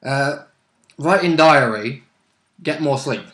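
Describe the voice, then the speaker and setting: A man's voice: on the high side, expressive, slow, a bit bright. Casual man chatting as a tabletop player. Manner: informal, joking, interjecting. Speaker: player in a tabletop roleplay game. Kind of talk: casual chat.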